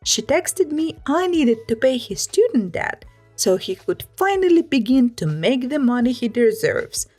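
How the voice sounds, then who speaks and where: A woman's voice: high-pitched, very animated, a little slow, darkish tone, soft background music. A woman narrating a scripted first-person story, reading it aloud.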